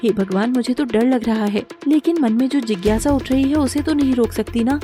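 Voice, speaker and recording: Honest poor young heroine, played by a woman, earnest and mellow, cartoon storybook. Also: on the high side, fairly quick, faint music behind, lightly acted. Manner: earnest, emotional.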